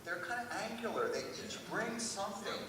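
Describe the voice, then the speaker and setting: A man's voice: high voice, animated, a little fast, pushed and bright. Man on a panel in an echoey hall. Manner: informal, conversational. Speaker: college admissions officer on a panel. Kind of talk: panel discussion, anecdotal. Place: large room at a public panel event. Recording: echoey hall from far off, noisy.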